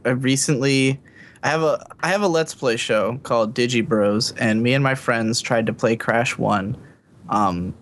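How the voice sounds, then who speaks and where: A man's voice: mid-range voice, a bit bright, slight background noise. A man chatting casually as a podcast panelist, in a blunt, crudely joking manner. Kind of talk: casual chat.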